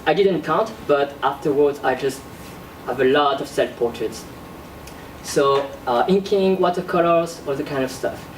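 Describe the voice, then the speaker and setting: A young man, an artist born in Korea and raised in France, presenting his work in a classroom in a halting, casual way with many fillers. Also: fairly high pitch, distant mic, a little hiss or hum. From born in South Korea, raised in France. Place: classroom with projector screen. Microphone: far camera at back of room. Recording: roomy echo from across the room.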